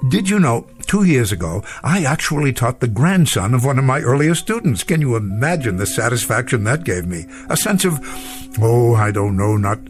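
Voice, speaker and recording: An actor playing an elderly, wordy, old-fashioned professor with formal, musing speech in a vintage television drama. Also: male voice, medium pitch, very animated, normal speed, faint music behind, natural voice. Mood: genial, nostalgic. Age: older. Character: elderly male professor, genial, rambling, proud, wounded.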